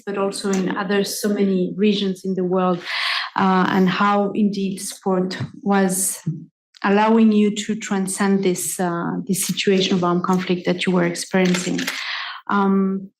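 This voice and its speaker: A woman's voice: mid-range voice, flat delivery, a little slow, darkish tone, a little hiss or hum. A woman moderates a conference panel in a formal, polite way. Her mid-range voice carries small hesitations and repeated words. The conference room around her is echoey.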